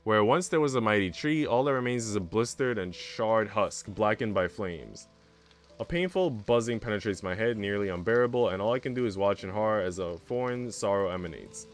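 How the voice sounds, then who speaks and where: A man's voice: fairly low pitch, animated, fairly quick, soft background music. A man reading a visual novel aloud as a streamer, narrating the story in the first person.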